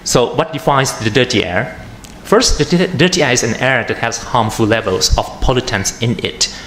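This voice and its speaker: A man with a Thai accent, an atmospheric chemist giving a conference talk, halting with many fillers and restarts. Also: mid-range voice, expressive, a bit bright. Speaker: panel speaker, atmospheric chemist. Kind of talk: conference presentation. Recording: echoey room with faint noise.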